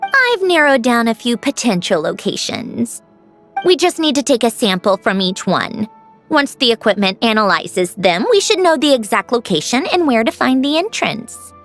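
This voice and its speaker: A woman playing an aloof, proud young woman in an anime-style video game, with a brisk, cool, skeptical manner. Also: a little high, very animated, a bit bright, soft background music. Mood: businesslike. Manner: clipped, cool, dismissive.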